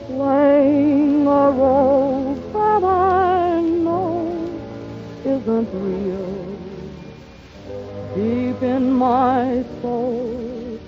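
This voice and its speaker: A woman's voice: sits high, extremely slow, music in the background. A woman singing a torch song in the style of 1930s radio, with musical accompaniment. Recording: dull lo-fi 1930s radio recording.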